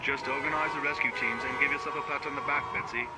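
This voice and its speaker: Casting: actor as British male officer, calm, reassuring, medium pitch, loud music underneath. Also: quick pace, natural voice. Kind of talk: motion comic voice acting.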